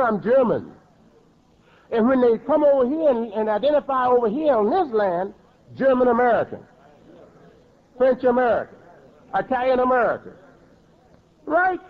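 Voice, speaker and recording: A man's voice: extremely high pitch, phone-quality sound. A man preaching a sermon in an emphatic way, repeating and rephrasing his words as he builds each point.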